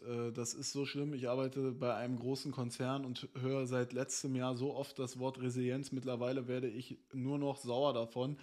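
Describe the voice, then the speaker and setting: A man's voice: mid-range voice, darkish tone. A man in his thirties reading an interview aloud on stream and chatting about it in a small room. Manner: reads text aloud, then comments in loose asides with fillers. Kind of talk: casual chat, reading an article aloud.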